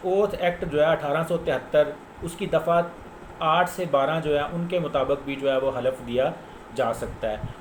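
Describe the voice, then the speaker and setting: A Pakistani man with a slightly high voice teaches in an echoey room with faint noise behind him. He explains in an informal, didactic way, like a lawyer walking listeners through the rules, and restates his main points. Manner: didactic, repeats key points for emphasis. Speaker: lawyer giving a legal lecture. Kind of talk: informal explanatory lecture citing case law.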